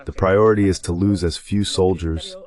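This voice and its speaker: Deep-voiced man giving political commentary. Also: steady tone, moderate pace, a little mellow. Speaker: translation voice of a military commentator.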